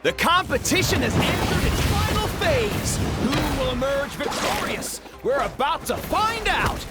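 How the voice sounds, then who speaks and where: A voice actor playing an excited tournament announcer in a video game, calling the race in hyped sports-commentary style over game music. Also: sits high, a little slow. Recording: game audio with music underneath.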